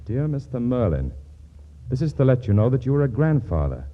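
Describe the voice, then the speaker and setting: An actor playing a flustered, exasperated young son in a 1940s radio comedy, with a stammering, protesting manner. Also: male voice, mid-range voice, sing-song, fairly quick. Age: young adult. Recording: noisy, muffled 1940s radio recording.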